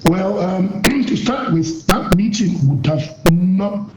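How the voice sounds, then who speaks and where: A man joining as a security expert guest, his voice worn from illness, speaking in a measured, opinionated way. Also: high-pitched, expressive, noisy background. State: voice worn, unwell. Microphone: remote phone or online link. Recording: roomy dull remote line.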